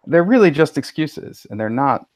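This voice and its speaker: A man's voice: fairly high pitch, big pitch swings, normal speed, no room sound, clean studio sound. A man giving his opinions casually as a panelist on a podcast, with tentative starts and blunt views.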